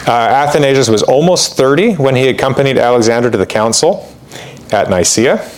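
A man's voice: mid-range voice, expressive, a little fast. A man teaching church history in an informal lecture, talking conversationally with fillers and asides, and reading quoted passages with more emphasis. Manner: reads quotations aloud with more emphasis.

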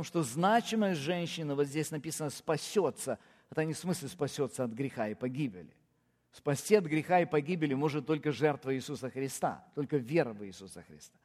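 A man's voice: a little high, a little mellow. Man preaching a sermon in a reverberant hall. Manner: emphatic preaching with rising stresses and enumerated points. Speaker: pastor.

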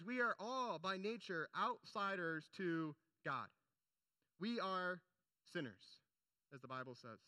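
Bright, high-voiced young male preacher. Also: no room sound. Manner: earnest, conversational preaching with asides. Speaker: pastor. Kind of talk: sermon.

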